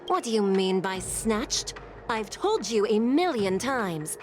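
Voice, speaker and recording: A woman's voice: medium pitch, sing-song, slow, background noise. An actress playing a stern female port official who explains with firm exasperation. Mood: exasperated.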